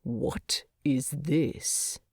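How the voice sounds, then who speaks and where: A woman voicing a gruff, imperious male dwarf king in a storybook, curt and breathy. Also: very deep voice, extremely slow, no room sound, studio quality, voice pushed down, full character voice. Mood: gruff, suspicious.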